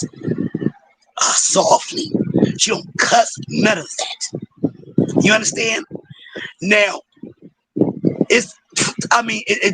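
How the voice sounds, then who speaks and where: Play him as a hoarse man with a high, bright, strained voice, arguing on a live panel and preaching his point, checking in with listeners as he goes. A faint hiss of noise sits under the line. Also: low-quality recording. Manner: preachy, repeats "you understand?". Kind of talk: heated panel debate.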